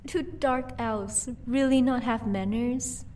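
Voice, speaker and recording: A woman playing a vampire's playful, possessive wife in an audio roleplay, teasing and doting with quiet menace. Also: fairly high pitch, slow, recorded from a distance, slight background noise. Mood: cool threat.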